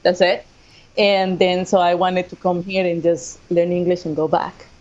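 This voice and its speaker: Low-pitched woman with Costa Rican accent. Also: flat delivery, normal speed, darkish tone, dull audio. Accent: Costa Rican Spanish. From Costa Rica. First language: Spanish. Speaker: guest, assistant professor of sports management. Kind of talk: conversational personal storytelling in an interview.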